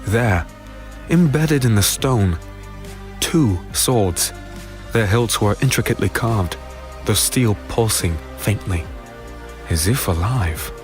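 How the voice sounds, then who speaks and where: Low-voiced brooding fantasy hero. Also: male voice, expressive. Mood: ominous, tense. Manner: deliberate, somber, dramatic. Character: weary, brooding male fantasy hero telling his own tale. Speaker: narrator and lead character. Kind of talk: first-person dark fantasy audio drama narration. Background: music.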